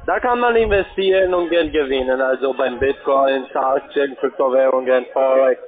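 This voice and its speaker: A man who is not a native German speaker, giving a sales pitch as a cold caller. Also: normal speed. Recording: tinny phone line.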